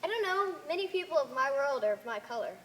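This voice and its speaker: A woman's voice: high-pitched, big pitch swings, quick pace, a bit bright. A woman playing a stubborn, candid Earth girl among aliens in an amateur stage play, plain-spoken and emphatic. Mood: bewildered. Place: theater stage.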